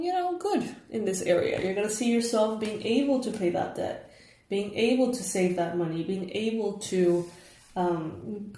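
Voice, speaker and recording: A young woman hosting her own tarot channel, her voice raspy and hoarse from just waking up, chatting casually with fillers and soft laughs. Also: mid-range voice. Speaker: tarot reader, channel host. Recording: echoey room, faint noise.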